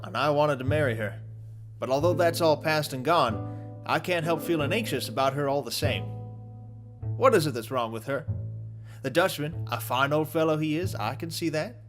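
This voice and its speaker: An actor playing a loyal, plain-spoken young gentleman adventurer in an audio drama, frank, folksy and earnest. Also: a little high, natural voice.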